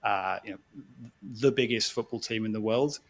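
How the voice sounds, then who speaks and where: A man's voice: lowish voice, moderate pace. A man in his forties, speaking to a live audience in a reflective, hesitant way and restarting his phrases often. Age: 40s. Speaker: guest speaker, sports executive. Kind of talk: conversational talk to a live audience.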